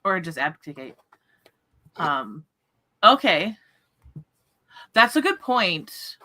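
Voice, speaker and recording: A woman hosting a casual book discussion livestream, chatting in an animated way. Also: fairly low pitch, slow.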